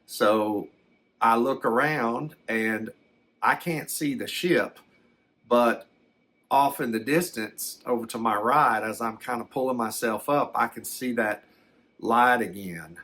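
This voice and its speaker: An older man, telling a personal story in a halting, reflective, folksy way. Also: mid-range voice, bright, forceful tone. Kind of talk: slow first-person storytelling.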